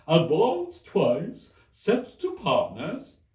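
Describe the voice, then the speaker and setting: Slow, high, mournful turtle creature. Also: male voice, huge pitch swings, a little mellow, heavily acted. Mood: excited. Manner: slow, drawn-out, emphatic delivery. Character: mournful, sobbing turtle creature, nostalgic and excitable. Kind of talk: theatrical storybook character reading. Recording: roomy echo, distant microphone.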